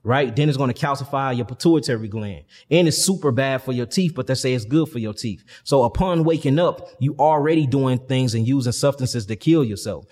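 Male, 30s, from St. Louis. Impassioned conversational teacher, slangy and emphatic, average pitch, long reverb on the recording. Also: fairly quick, few pauses, darkish tone. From St. Louis, Missouri, USA. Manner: emphatic, slangy, explanatory, preacher-like build-ups. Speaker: interview guest, health and consciousness teacher.

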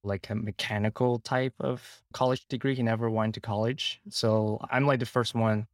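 A man's voice: medium pitch, steady tone, moderate pace, no echo, clean studio sound. A man with a Hong Kong accent telling his personal story casually as an interview guest. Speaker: podcast guest.

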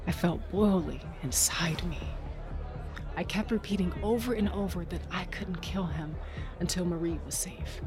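A woman's voice: lowish voice, normal speed, music in the background, lightly acted. An actress playing Tasha, a tough, rage-driven woman cage fighter, narrating in the first person in an audio drama with a brooding, dramatic delivery. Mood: brooding, intense.

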